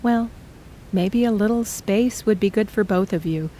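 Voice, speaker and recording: A woman voicing a reassuring middle-aged mother in an audio drama, speaking gently and measured. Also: mid-range voice, slight background noise, lightly acted. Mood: soothing.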